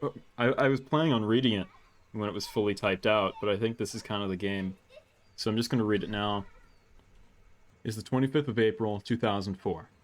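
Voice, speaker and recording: A man doing low-key, casual game commentary and reading text aloud, sometimes halting over unfamiliar words. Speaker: gaming video host.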